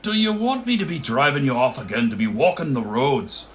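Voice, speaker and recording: Man acting a bossy blacksmith, swinging pitch. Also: animated, fairly quick, fairly bright tone, slight background noise, natural voice. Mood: scolding. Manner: scolding, commanding. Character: hard, bossy Irish village blacksmith man, impatient taskmaster. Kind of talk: theatrical radio play acting.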